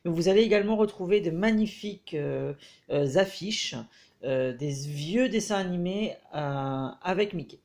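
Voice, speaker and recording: A man with a head cold giving a casual, hesitant review of a book as he leafs through it, pausing often. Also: sits high, darkish tone. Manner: hesitant, frequent filler pauses.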